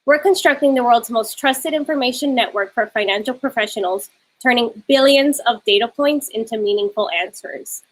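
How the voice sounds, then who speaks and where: Woman presenting corporate slides, higher voice. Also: moderate pace, rough recording. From New York City. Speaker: panel host and moderator. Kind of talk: presenting slides, then moderating a video panel.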